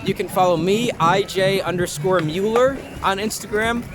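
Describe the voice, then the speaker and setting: A man's voice: high voice, moderate pace, background noise. A young adult man from Long Island giving a casual, informal and opinionated interview as an actor. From Long Island, New York. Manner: informal, mildly profane, opinionated. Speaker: interview guest, actor and performer. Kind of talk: casual street interview chat. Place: outdoors in an urban public spot. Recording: outdoor street with loud music behind.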